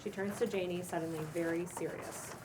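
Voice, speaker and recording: A woman's voice: fairly low pitch, steady tone, fairly quick. A woman narrating stage directions in a cold read of a screenplay. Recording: distant microphone with clear room noise.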